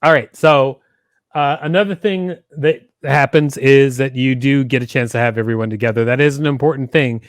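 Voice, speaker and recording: Man, middle-aged, podcast host, explanatory, medium pitch, close dry microphone. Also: moderate pace, no room sound. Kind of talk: conversational instructional podcast talk. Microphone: close studio microphone with foam windscreen, headset on.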